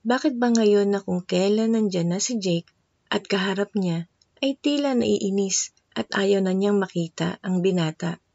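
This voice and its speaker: A woman narrates a scripted love story in a medium-pitched voice. She is recorded close and dry, as a voice-over. The audio is slightly dull and lo-fi. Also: darkish tone, no echo.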